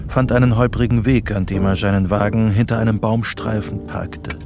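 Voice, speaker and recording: An actor as the narrator of a psychological thriller, reading scripted radio drama narration. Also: male voice, lowish voice, steady tone, normal speed, music in the background, close to an everyday voice. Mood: sober.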